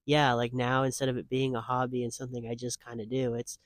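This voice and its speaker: A man's voice: medium pitch, completely flat delivery, fast, no room sound, clean studio sound. A man of twenty-five answering interview questions in a casual, earnest way, rambling with lots of "like" fillers. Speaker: guest.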